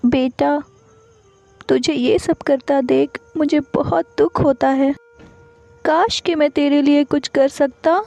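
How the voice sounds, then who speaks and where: A woman's voice: high voice, steady tone, takes it slowly, gravelly, warm tone, dull audio, pitched above the performer's own voice, heavily acted. A woman voicing a humble, doting pigeon father in a cartoon story, tender and earnest. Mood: tender, sorrowful.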